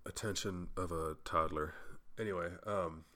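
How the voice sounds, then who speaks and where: A man's voice: deep voice, steady tone, moderate pace, bright, forceful tone. A young man hosting a solo podcast, rambling casually and swearing, sounding a little congested. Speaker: podcast host.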